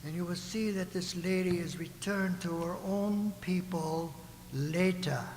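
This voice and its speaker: In a roomy, hissy hall, a man acts out an oily vegetable despot from a radio play. He is high-voiced, pompous and lecherous, savoring each menacing courtesy. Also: a little slow, a little mellow. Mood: triumphant. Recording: roomy echoing hall with background hiss.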